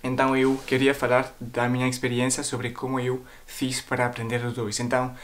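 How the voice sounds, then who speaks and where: A man's voice: medium pitch, monotone, a little fast, a bit bright, a little hiss or hum. A man with a foreign accent, a native French speaker, talks casually to viewers about language learning, often searching for words and repeating himself. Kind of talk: casual explanatory talk to viewers.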